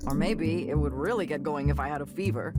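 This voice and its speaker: A young woman musician in a visual novel, passionate about tech and wary of authority. Her voice sits fairly low and moves around a lot, earnest with a wry edge. Music plays clearly behind her. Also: expressive, a little fast. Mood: enthusiastic, playful. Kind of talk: visual novel game voice acting, conversational. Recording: game audio with music underneath.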